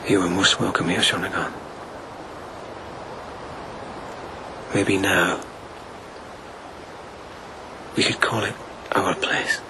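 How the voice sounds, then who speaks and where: Gentle, low-voiced lieutenant. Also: male voice, steady tone, moderate pace, noisy background. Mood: tender, wry. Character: gentle, wry, devoted police lieutenant of ancient Japan. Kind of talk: intimate radio drama acting.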